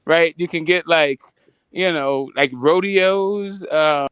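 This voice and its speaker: A man's voice: fairly high pitch, slow, a bit bright, clear, resonant tone, no echo. A man from California talking in a loose, rambling, heavily profane way as a co-host of a casual talk show. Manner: loose, rambling, swears a lot.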